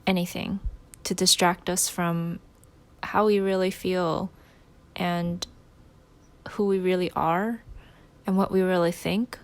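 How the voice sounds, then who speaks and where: A woman leading a guided meditation, giving gentle instructions in short phrases with pauses between them. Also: lowish voice.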